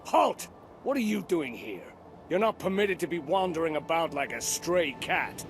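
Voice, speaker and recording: An actor playing a stern, scornful centurion and dog trainer in a fantasy video game, barking and haggling. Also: male voice, on the high side, big pitch swings, moderate pace, background noise. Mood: commanding, stern.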